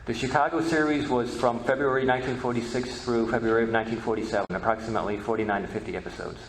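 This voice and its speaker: A man's voice: medium pitch, fairly even intonation, racing. A man co-presenting an informal talk in a factual, precise manner. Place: presentation room at a convention. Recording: echoey room, faint noise.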